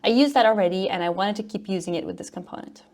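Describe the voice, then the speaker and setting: A woman's voice: mid-range voice, quick pace, darkish tone. A woman from Norway, presenting a technical conference talk in an upbeat, informal explaining way. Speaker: conference speaker, front-end developer and educator.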